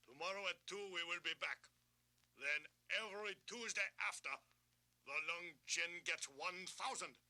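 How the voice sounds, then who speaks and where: A menacing gang enforcer in an eighties audio drama. His voice is hard, very bright and a little high. He talks in curt, threatening phrases. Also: moderate pace. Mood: cold threat. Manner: clipped, threatening delivery. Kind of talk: 1980s audio drama acting.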